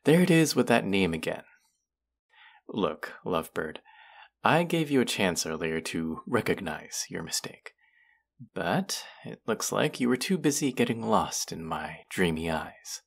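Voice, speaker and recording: A man's voice: deep voice, big pitch swings, a little slow, gravelly, heavily acted. A man acting a condescending, mocking young twin brother in a roleplay audio drama, with a teasing, sarcastic drawl. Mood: mocking, scornful.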